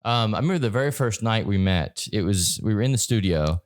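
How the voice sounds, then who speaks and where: Brief: young male podcast host, rapid-fire, low-pitched, blunt, dry close sound. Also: lively intonation, dry recording. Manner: blunt, profane, rapid talk. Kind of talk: casual chat. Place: bar.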